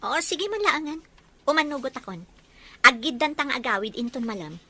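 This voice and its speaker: A woman's voice: high-pitched, big pitch swings, a little fast, pushed and bright, heavily acted. A woman playing a guarded, modest young working woman in an audio drama. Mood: shy, softening. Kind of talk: Ilocano audio drama acting.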